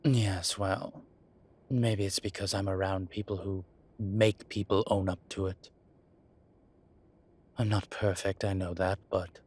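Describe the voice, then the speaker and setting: Man playing a deep, husky, proud incubus demon. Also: darkish tone, full character voice. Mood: proud, self-assured. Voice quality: low, husky voice. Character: proud sardonic male incubus demon professor, guarded yet playful. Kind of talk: roleplay audio drama, speaking to an unseen listener.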